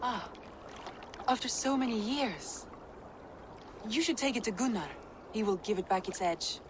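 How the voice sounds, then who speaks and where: A woman's voice: a little high, lively intonation, a little slow, noisy background. An actress playing a forthright, weary Viking noblewoman, caring but blunt, in a video game cutscene. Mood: measured, concerned.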